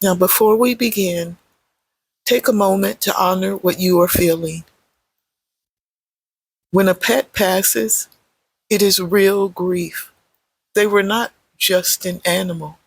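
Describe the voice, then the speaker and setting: A meditation guide speaks very slowly in a creaky, husky voice of middling pitch. The phrases are short and gentle, with space left between them. The sound is dry and close, suited to guided relaxation. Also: no echo, rough recording. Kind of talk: guided meditation, unscripted spoken guidance.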